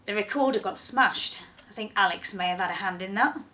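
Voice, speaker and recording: An actress playing a quietly resilient woman in an audio drama, speaking in a measured, reassuring way. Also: mid-range voice, lightly acted. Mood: matter-of-fact.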